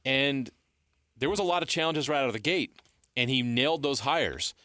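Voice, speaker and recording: An American man co-hosting sports radio, giving measured, explanatory analysis in a conversational style on a close studio mic. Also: medium pitch, expressive, sharp tone, no room sound. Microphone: close studio broadcast mic.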